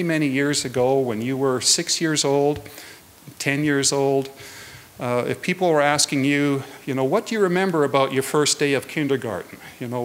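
A man gives a prepared public talk in a measured, earnest way. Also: mid-range voice, fairly bright tone. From Canada. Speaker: guest speaker, hearing adjudicator. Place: indoors at a lectern in a hall.

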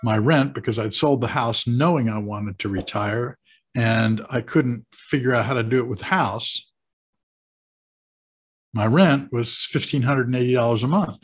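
A man in his sixties with an American accent talks to camera as a host, explaining things deliberately with pauses for emphasis. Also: mid-range voice, slightly rough. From United States. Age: 60s. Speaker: host of a retirement advice channel. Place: small home office, indoors. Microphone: webcam video call setup.

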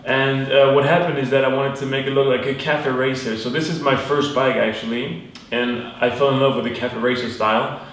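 Soft-spoken young man, distant and roomy. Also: mid-range voice, level tone, brisk. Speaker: video editor, guest. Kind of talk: casual Q&A chat. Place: bright living room.

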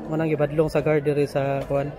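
A man, casually narrating a walking vlog while holding a small camera, his voice rising high when he asks questions. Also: completely flat delivery, brisk, a crowd in the background. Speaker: vlogger. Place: outdoors at an abandoned urban site. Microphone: handheld gimbal camera.

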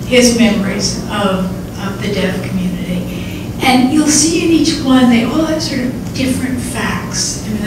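A soft-voiced woman presenting oral history clips to an audience, hesitant with many ums, in a library meeting room. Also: a little high. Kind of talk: informal talk to audience. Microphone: distant room mic. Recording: echoey hall, distant mic, audible noise.

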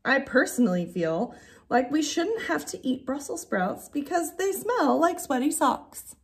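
Storybook little girl, played by a woman: curious and opinionated, high-pitched, very animated, earnest. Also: unhurried, heavily acted.

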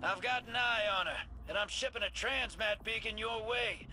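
An actor playing a folksy, cocky battlefield helper in a video game, breezy and colloquial. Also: male voice, high voice, moderate pace, bright, forceful tone. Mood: breezy, alert. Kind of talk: video game drama acting. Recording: noisy battle background.

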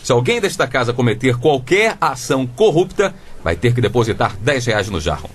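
Stern honest father voiced by a man, lecturing, lively rising pitch, faint hiss. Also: moderate pace, lightly acted. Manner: lecturing, moralizing. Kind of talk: radio drama acting.

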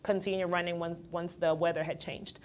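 A woman's voice: fairly low pitch, fairly quick. A woman in her twenties from Guyana, a fitness trainer and entrepreneur, talking candidly as a guest in a casual interview and laughing easily. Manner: candid, laughs easily, quotes others in lively voices.

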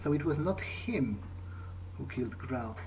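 Guy roleplaying a sardonic vampire in a video game, muttering his thoughts, mid pitch, noisy game ambience behind. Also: expressive, a little mellow. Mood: musing. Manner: musing, halting, muttered asides. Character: cocky, sardonic male vampire thinking aloud. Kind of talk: in-character video game playthrough monologue. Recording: clear background noise, game ambience.

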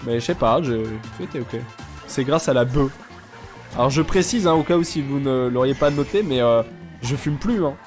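A young man with a stuffy nose, chatting casually and joking deadpan with viewers while he streams a game. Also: mid-range voice, normal speed, soft background music. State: congested, sick with sinusitis. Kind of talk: casual live-stream chat while gaming.

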